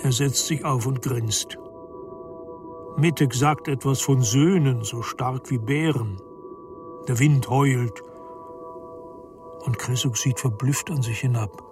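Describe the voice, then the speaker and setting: A man's voice: medium pitch, slow pace, long pauses. A man narrating a radio play in a literary, dramatic storytelling manner. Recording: studio radio play with music underneath. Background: clearly audible music.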